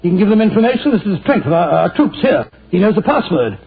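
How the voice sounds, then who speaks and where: An actor playing Doctor Watson, a bluff, easily startled companion, in a 1940s radio play. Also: male voice, sits high, sing-song, fast, gravelly, full character voice. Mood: flustered. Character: Doctor Watson, flustered loyal male companion. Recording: tinny 1940s radio broadcast.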